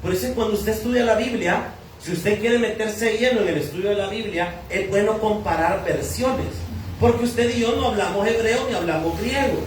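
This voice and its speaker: A man's voice: high voice, normal speed, a little hiss or hum. A Salvadoran man preaching a sermon as pastor, teaching through questions he asks and answers himself. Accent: Salvadoran Spanish. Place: church hall. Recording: echoey church hall from far off.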